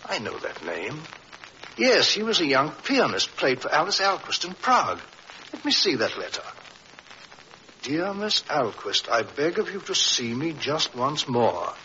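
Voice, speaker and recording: A resolute Scotland Yard inspector in vintage radio drama. His voice is bright and on the high side, with animated, urgent delivery. The old recording is slightly muffled. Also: unhurried, slight background noise, heavily acted. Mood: explaining, grave. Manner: urgent, earnest, emphatic.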